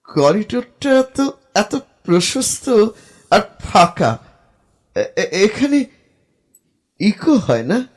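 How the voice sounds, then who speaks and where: An anxious young man in an audio horror drama. His high voice swings widely in pitch. He speaks slowly and haltingly, catching on words as if troubled by what he sees. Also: very animated, heavily acted. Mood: hesitant. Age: young adult. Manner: halting, breathy hesitations and repeated words. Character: anxious young man haunted by terrifying visions. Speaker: protagonist and first-person narrator.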